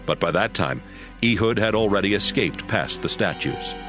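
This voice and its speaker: A man narrating scripture in a steady, measured storytelling voice. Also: lowish voice. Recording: narration over background music.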